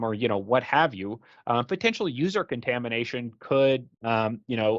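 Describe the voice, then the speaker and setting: A man's voice: mid-range voice, no room sound. An American man giving a casual, explanatory product presentation in a conversational way, often saying "you know". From United States. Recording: dull, dry, lo-fi voice track.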